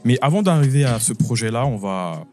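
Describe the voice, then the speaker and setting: A man's voice: mid-range voice, animated, brisk, a little mellow, soft background music. A man hosting a casual interview, encouraging his guest and often repeating his own phrases. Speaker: host.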